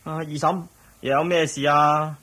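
A man's voice: a little high, flat delivery, moderate pace, crowd noise, close to an everyday voice. A man playing an earnest, protective young apprentice in a radio drama, with a Hong Kong Cantonese accent. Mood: dutiful, worried.

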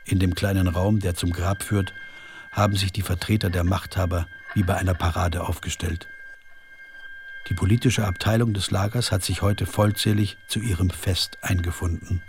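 An actor playing an anguished camp prisoner bearing witness in a radio drama, with solemn, deliberate, sorrowful delivery. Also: male voice, low voice, fairly even intonation, noisy background. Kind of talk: dramatised testimony reading for radio. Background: music.

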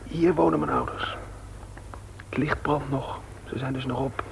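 A man's voice: medium pitch, steady tone, unhurried, creaky, a little hiss or hum, rough recording. An actor in a radio play playing a carefree young man, with a boyish, colloquial way of talking. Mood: furtive. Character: carefree youth sneaking a friend home.

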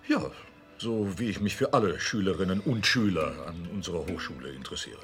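An actor playing a stern, discreet art school director in a radio drama, formal and authoritative. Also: male voice, low-pitched, lively intonation, brisk, a bit bright, faint music behind. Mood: discreet, earnest.

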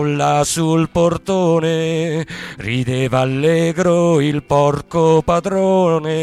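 A man's voice: on the high side, clean tone. A man singing a narrative Italian folk protest song as part of a theatre performance. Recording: singing with musical accompaniment.

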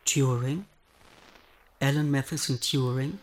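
An actor playing an earnest mathematician who answers an official's questions precisely and matter-of-factly in a radio drama. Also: male voice, mid-range voice, fairly even intonation, slow pace, slight background noise, close to an everyday voice, poor audio. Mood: earnest, factual.

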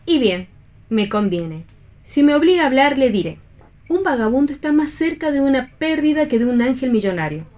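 Proud dead rival returned, possessive, assertive, declamatory, high-pitched, radio theatre. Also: female voice, big pitch swings, moderate pace, darkish tone. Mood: defiant. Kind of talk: staged reading of a stage play for radio.

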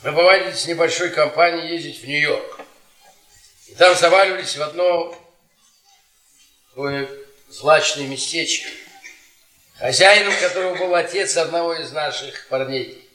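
A worn-down, sardonic professor in a radio play. His voice is fairly high and bright, with a deliberate, theatrical storyteller's delivery. It sounds roomy and set back from the microphone. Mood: wistful, sardonic. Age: middle-aged. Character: bitter, worn-down middle-aged history professor, sardonic storyteller. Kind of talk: Soviet radio theatre acting, dramatic monologue. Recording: roomy stage-like echo, distant from microphone.

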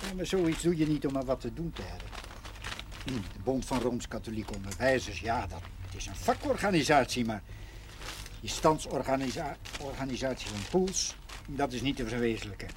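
An actor playing a gentle, modest older retired schoolteacher in a naturalistic seventies television drama, hesitant but earnest. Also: male voice, mid-range voice, sing-song, moderate pace, background noise, close to an everyday voice. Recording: 1970s television soundtrack with music.

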